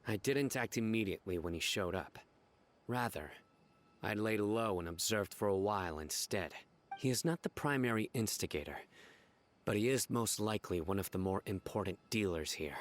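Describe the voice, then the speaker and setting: A man playing a stern, composed young enforcer in a video game, measured, authoritative and quietly menacing. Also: on the low side, lively intonation, natural voice.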